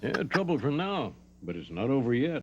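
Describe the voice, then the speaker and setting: A man speaking in an old TV program with a bright, theatrical delivery. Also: mid-range voice, very animated, moderate pace. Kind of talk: vintage TV program audio. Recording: dull lo-fi archive TV audio.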